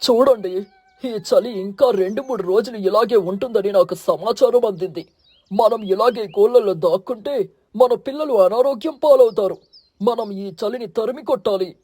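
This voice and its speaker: An actor voicing a commanding, protective eagle king in a children's cartoon story, solemn, authoritative and husky. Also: medium pitch, normal speed, dark tone, no echo, natural voice. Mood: grave, urgent. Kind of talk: animated children's moral story.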